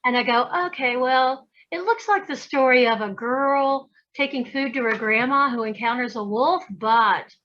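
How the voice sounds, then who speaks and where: A woman's voice: sits high, moderate pace, few pauses, a bit bright, muffled recording. A woman guest in a casual conversation, talking animatedly and acting out imagined voices as she makes her points. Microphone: video call.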